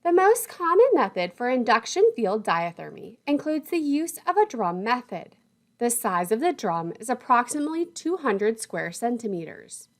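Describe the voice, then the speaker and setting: Woman, instructional narrator, higher pitch, lively intonation, formal and precise, scripted lecture delivery.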